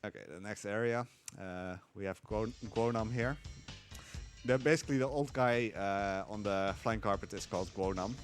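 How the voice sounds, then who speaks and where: A man giving casual live speedrun commentary, explaining haltingly with dry humour. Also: fairly low pitch, soft background music. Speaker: speedrunner at a gaming marathon.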